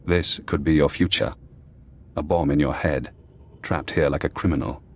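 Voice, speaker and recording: Low-pitched man, calculating ex-spy, cool, measured delivery, video game dialogue. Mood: coldly probing. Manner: measured, cool, cryptic instructions. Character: paranoid, calculating imprisoned former spy analyst, adult man.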